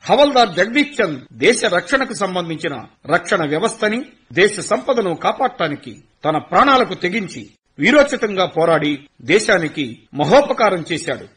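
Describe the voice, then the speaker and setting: A male narrator of a radio play. He delivers his lines in a measured, commemorative way. His voice is husky and pitched high. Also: a bit bright. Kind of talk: scripted radio drama narration.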